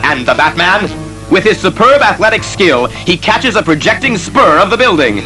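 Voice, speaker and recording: A man's voice: sits high, very animated, moderate pace, pushed and bright, soft background music, heavily acted, low-quality recording. A man playing the ominous, suspenseful storyteller of a superhero audio drama, in formal scripted narration.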